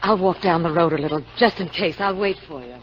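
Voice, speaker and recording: A woman's voice: fairly low pitch, a little fast, natural voice. An American woman acting a cool, scheming wife who plots murder, in controlled 1950s radio drama style. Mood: anxious, intimate. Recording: dull, noisy old radio broadcast.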